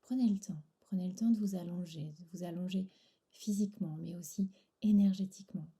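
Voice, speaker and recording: A woman guiding a relaxation meditation in a soft, breathy, soothing voice, pausing between short phrases. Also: on the low side. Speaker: yoga nidra instructor.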